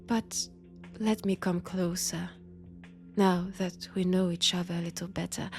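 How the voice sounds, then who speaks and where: Woman playing a slow, low French-accented mad vampire. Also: steady tone, faint music behind. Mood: menacing, teasing. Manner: deliberate, menacing, halting short phrases. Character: mad female vampire, predatory, seductive, mockingly tender. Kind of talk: slow intimate roleplay monologue.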